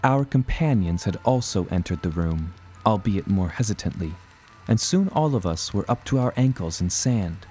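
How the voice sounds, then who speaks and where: A man narrating a scripted first-person adventure story in a measured, dramatic way. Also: deep voice, lively intonation, warm tone, soft background music.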